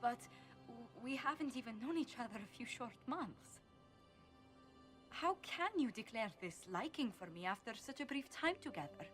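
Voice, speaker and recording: A woman's voice: fairly high pitch, animated, normal speed, over music, natural voice. An actress playing a polite female ambassador who stammers formally when surprised by a confession. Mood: flustered. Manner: formal, flustered, stammers when caught off guard. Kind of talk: video game dialogue.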